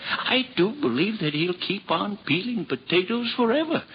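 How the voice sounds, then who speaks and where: A man's voice: sits high, expressive, moderate pace. A man in a 1950s radio drama playing a kindly, flustered priest who is also the monastery cook, hesitating over his words. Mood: worried. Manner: flustered, hesitant, with many uhs. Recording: lo-fi old radio broadcast, faint hiss.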